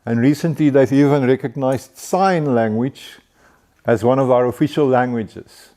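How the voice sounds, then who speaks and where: A middle-aged South African man with an Afrikaans accent talks through his car-building vlog in a relaxed, chatty way. He chuckles often and stumbles over a word now and then. His voice sits at an ordinary middle pitch. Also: unhurried. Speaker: car builder hosting a community show. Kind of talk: casual vlog chat.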